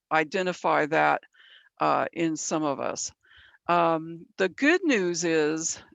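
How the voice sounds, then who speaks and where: Slow, gravelly woman leading a mentoring workshop. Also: lowish voice, no echo, highs cut off. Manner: explanatory, checks in with "right?". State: losing her voice. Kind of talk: online training presentation. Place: from San Bernardino County, California.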